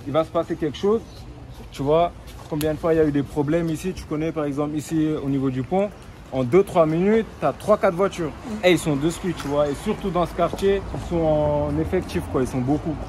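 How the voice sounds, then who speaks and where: A man's voice: fairly high pitch, fairly quick. A young man, a rapper raised in Germany, chatting casually outdoors on city streets, laid-back and slangy with constant filler tags. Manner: relaxed slangy talk with frequent tags. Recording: loud street noise with traffic.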